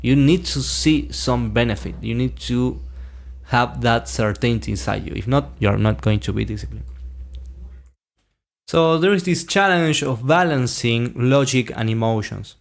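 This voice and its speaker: Gravelly-voiced man musing on self-help. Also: mid-range voice, animated, moderate pace. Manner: earnest, repeats key points for emphasis. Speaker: podcast host. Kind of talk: reflective self-help monologue.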